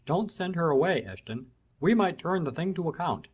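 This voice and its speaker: A woman voicing a middle-aged colonel in a storybook reading, brisk and commanding. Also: lowish voice, lively intonation, a little mellow, breathy edge, lo-fi sound, pitched below the performer's own voice. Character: hearty middle-aged military gentleman.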